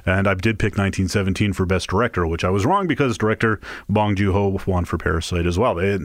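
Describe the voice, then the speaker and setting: A man's voice: low-pitched, quick pace, no room sound. Dry-recorded man reviewing films casually. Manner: conversational, opinionated. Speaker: show co-host and film reviewer. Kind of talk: casual movie chat.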